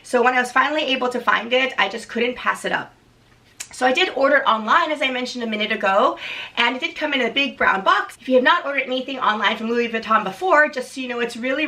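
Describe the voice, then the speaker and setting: Hoarse, gravelly woman vlogger. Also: fairly high pitch, bright, forceful tone, recorded from a distance. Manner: chatty, many restarts and "um"s. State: hoarse from a cold. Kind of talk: casual unboxing vlog.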